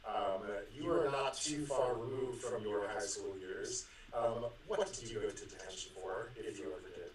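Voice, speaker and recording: A man co-hosts a podcast with a relaxed, hesitant delivery full of ums. He sounds distant from the mic in a strongly echoing room, with faint noise behind him. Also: on the low side, normal speed, hardly any pauses. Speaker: co-host and coach. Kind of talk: podcast interview.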